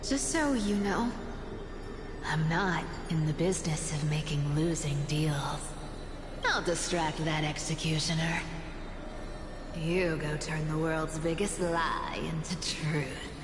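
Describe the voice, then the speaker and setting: A woman's voice: deep voice, slow pace, noisy background. A woman playing a sly, fearless feline rogue girl in an anime game, teasing and nonchalant. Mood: teasing, resolute. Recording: echoey reverb with music behind. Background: game music.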